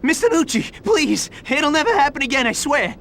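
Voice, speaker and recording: An actor playing a desperate gang member in a video game, pleading frantically. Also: male voice, very high voice, sing-song, normal speed, a bit bright, slight background noise, heavily acted. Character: desperate male gang member begging his boss.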